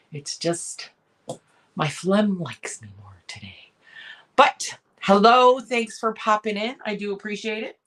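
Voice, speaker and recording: A hoarse woman with a cough, hosting a casual live sale and chatting in a rambling way with many pauses and restarts. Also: mid-range voice. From Michigan, USA. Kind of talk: casual live-stream chat.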